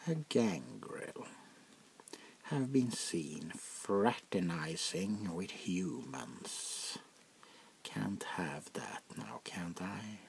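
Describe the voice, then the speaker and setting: An aristocratic male vampire prince, played by a man speaks softly for an ASMR roleplay. His low, creaky voice swings expressively and often sinks to a whisper. He is languid, jaded and mockingly polite. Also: expressive, very slow, background noise, heavily acted. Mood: weary. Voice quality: gravelly, often dropping to a whisper. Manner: languid, world-weary, mockingly courteous. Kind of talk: soft-spoken ASMR roleplay.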